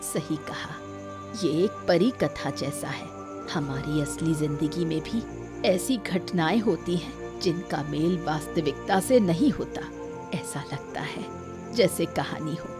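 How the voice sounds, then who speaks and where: A woman plays a wise old mother from a village cartoon tale. She explains patiently in a lowish, very slow voice, with prominent music underneath. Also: animated. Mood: calm, revealing. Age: older. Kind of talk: scripted cartoon story acting.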